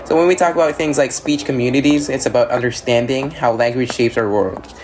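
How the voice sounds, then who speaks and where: A man's voice: mid-range voice, steady tone, brisk, a little mellow, lightly acted. A man playing an earnest, studious student in an amateur radio drama, explaining ideas conversationally and often checking in with a "right?". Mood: earnest, explanatory. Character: earnest, academically-driven male student explaining ideas to classmate. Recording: noisy outdoor ambience.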